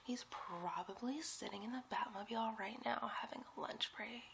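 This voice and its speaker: Woman acting as young boastful sidekick, bright high whisper, conspiratorial, gossipy, rambling, ASMR role play. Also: moderate pace, slight background noise. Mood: giddy scheming. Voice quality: breathy whisper. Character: young, giddy, boastful superhero sidekick, scheming to impress.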